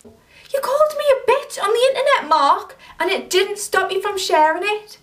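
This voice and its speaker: A young woman playing an angry young woman who confronts her ex in a sharp, cutting, indignant way, recorded from a distance. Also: very high-pitched, sing-song, normal speed, heavily acted. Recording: distant camera mic.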